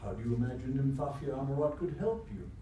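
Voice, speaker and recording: A man acting a weary, kindly sorcerer master, principled and gentle, in staged radio theatre. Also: medium pitch, expressive, fast, close to an everyday voice. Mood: incredulous, firm. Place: indoor convention performance before microphones. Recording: echoey live room, distant mic, faint noise.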